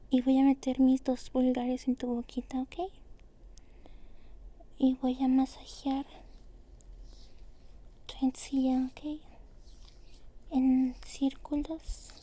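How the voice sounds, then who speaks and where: A woman doing a soft-spoken, often whispered ASMR roleplay as a dentist, with a breathy, gentle and reassuring way of talking. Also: high-pitched. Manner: gentle, reassuring, using many diminutives.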